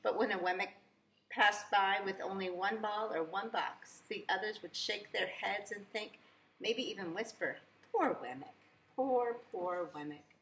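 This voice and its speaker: Female storybook reader for young children. Bright, crisp-edged delivery, emphatic and expressive. Roomy sound with faint noise. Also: medium pitch, moderate pace. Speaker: preschool teacher reading aloud. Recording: echoey room, faint background noise.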